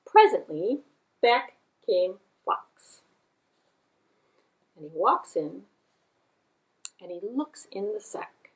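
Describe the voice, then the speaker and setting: A woman's voice: mid-range voice, sing-song, very slow, long pauses, poor audio. A woman storyteller narrating a puppet show for children, with sing-song, repetitive emphasis.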